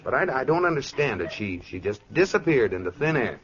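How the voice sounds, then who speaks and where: An actor playing an earnest, anxious, overprotective husband in 1940s radio comedy, melodramatic and stammering. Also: male voice, medium pitch, sing-song, brisk, a bit bright. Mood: worried. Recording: dull old radio recording.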